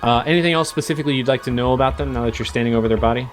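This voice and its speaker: A man narrating as game master, describing scenes with many pauses and fillers. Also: medium pitch. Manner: hesitant, many ums and uhs.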